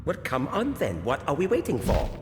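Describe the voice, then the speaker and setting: Impatient game adventurer, scolding, very animated, high voice, loud-ish music, reverb. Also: male voice, a little fast. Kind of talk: video game character acting. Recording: reverberant with prominent music behind.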